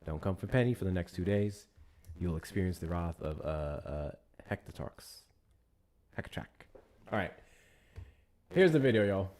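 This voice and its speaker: A man's voice: low voice, lively intonation, normal speed, pauses often, a little mellow. A man hosting a live stream, chatting loosely with viewers, thanking them for gifts and repeating himself as he talks. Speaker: livestream host. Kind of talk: casual live-stream commentary.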